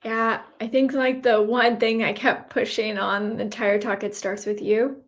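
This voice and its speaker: A woman's voice: on the high side, little pitch movement, unhurried. A woman guest on a podcast, explaining her views in an earnest, conversational way and often checking in with the listener. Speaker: podcast guest, cybersecurity professional. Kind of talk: casual conversational interview answers.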